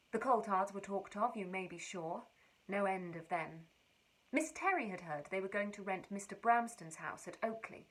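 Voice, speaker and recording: A woman giving an expressive, wry and precise reading of a period letter. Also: mid-range voice, breathy edge, rough recording. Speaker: reader.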